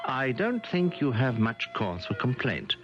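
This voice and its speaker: A flustered, hesitant male secretary, lively pitch. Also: a little slow. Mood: resigned. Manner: hesitant, stammering. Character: deferential, flustered male secretary to a rich man. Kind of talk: 1970s radio drama acting. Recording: dull vintage radio broadcast recording, music behind.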